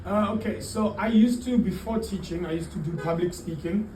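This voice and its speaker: A man's voice: high voice, moderate pace. Middle aged guy, teacher chatting in an interview, roomy sound w lots of noise. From Zimbabwe-born, raised in the UK and South Africa. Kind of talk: casual interview answers. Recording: roomy, distant mic, clearly noisy.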